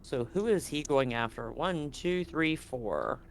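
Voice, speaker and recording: A man's voice: on the high side, expressive, slow pace. A man speaking casually and with some swearing as game master of a tabletop role-playing game. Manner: casual, profane.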